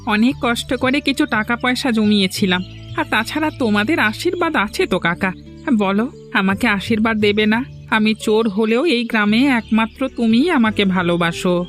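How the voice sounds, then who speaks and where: A man's voice: high voice, expressive, moderate pace, fairly bright tone. A man voicing a scheming middle-aged village thief in a cartoon, theatrical and ingratiating, with a West Bengal Bengali accent. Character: scheming middle-aged village thief turned eager fish-hotel owner. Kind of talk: cartoon voice acting. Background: music.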